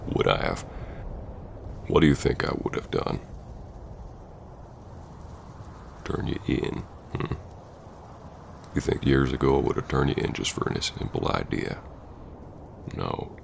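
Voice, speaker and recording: A man playing a stern, hurt Old West bounty hunter confronting an old flame in an ASMR roleplay, brooding and halting yet tender. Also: lively intonation, a little hiss or hum, heavily acted. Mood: hurt, demanding. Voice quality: very deep, gravelly drawling voice. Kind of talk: ASMR roleplay acting, spoken to the listener.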